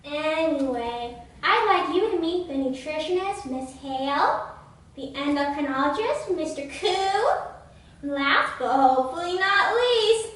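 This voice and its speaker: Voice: sits high, animated, measured pace, fairly bright tone. A young performer as an earnest puppet health educator, echoey room. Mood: presenting. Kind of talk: amateur scripted puppet show presenting. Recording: echoey room, far from the mic.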